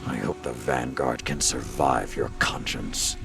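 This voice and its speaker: A man playing a stern older military mentor in a science fiction game, speaking in a grave, emphatic, gravelly voice. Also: a bass voice, measured pace, music in the background, exaggerated voice.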